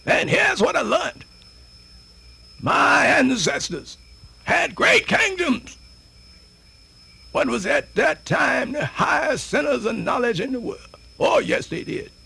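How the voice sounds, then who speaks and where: Husky, high-voiced Southern old storyteller. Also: male voice, very animated, slow pace, pauses often, pushed and bright, full character voice. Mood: excited, inviting. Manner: rambling, folksy, theatrical hesitations and repetitions. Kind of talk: radio theatre folktale monologue.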